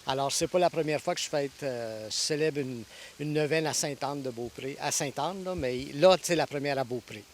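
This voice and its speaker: A man in his sixties from Ontario, a priest answering interview questions thoughtfully, pausing and restarting often. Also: mid-range voice, a little hiss or hum. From Ontario, Canada. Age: 60s. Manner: thoughtful, with frequent hesitations and restarts. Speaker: priest and guest preacher.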